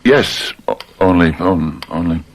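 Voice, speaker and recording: Deep-voiced, stammering, guilt-maddened man. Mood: nervous, distracted. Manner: halting, stammering, repeating words. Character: guilt-maddened, jittery murderer hiding a body. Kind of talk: old radio drama acting. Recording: noisy old radio drama recording.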